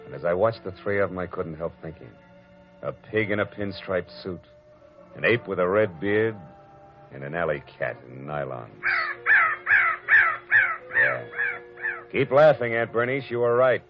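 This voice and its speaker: A man's voice: low-pitched, expressive, a little slow, pauses often, loud music behind the voice. An actor playing a cynical, wisecracking male private detective in a 1940s radio drama, narrating in a tough, dry manner. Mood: wry. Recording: dull old radio broadcast recording.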